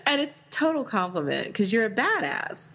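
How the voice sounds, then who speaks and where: A woman's voice: on the high side, sing-song, fairly bright tone, breathy edge. A woman co-hosting a podcast in casual chat, informal and giggly in her way of talking. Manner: informal, giggly, quick to laugh. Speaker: podcast co-host.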